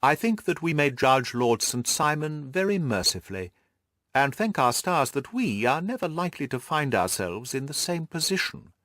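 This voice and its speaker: Audiobook gentleman detective, played by a male reader: assured, methodical, very animated pitch movement, close dry sound. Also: moderate pace, no room sound. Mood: wry, reflective. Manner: precise, methodical, didactic explaining. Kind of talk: audiobook character dialogue.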